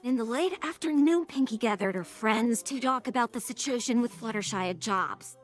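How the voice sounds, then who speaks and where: A woman's voice: a little high, animated, moderate pace, faint music behind. A synthetic-sounding woman's voice reading scripted story narration.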